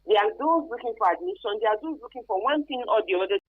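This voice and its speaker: A woman's voice: on the high side, lively intonation, fast. A woman with a Nigerian accent explaining things emphatically and spontaneously as an interview guest, heard over a thin phone line. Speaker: expert guest on human trafficking. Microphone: phone call.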